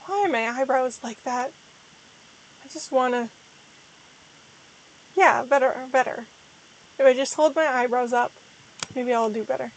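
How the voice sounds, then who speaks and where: A young woman talks in a high voice gone hoarse and tired. She rambles through a casual livestream chat, restarting her sentences and running herself down a little. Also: a little slow, pauses often, slight background noise, rough recording. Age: young adult. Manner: rambling, self-deprecating, frequent restarts. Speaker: VTuber streamer.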